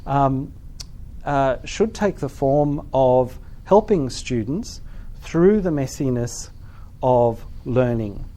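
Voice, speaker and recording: A man's voice: medium pitch, lively intonation, slow pace, warm tone. A middle-aged man presenting a workshop at a teachers' forum, speaking thoughtfully with frequent ums and restarts. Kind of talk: conference workshop talk. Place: lecture room at a teachers' forum.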